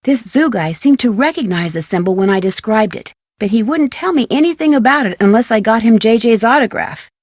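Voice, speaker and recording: A woman voicing a curious, friendly young female detective in a video game, speaking conversationally and clearly as she talks through a case. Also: fairly high pitch, expressive, a little fast, hardly any pauses, darkish tone, no room sound, clean studio sound. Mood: wry, matter-of-fact.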